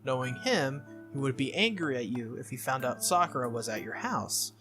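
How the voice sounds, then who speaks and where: A brisk teenage schoolgirl from an anime visual novel, acted out by a playthrough reader. She is confident and needling, with a bright, swooping delivery over faint music. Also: medium pitch, expressive, natural voice. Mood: matter-of-fact.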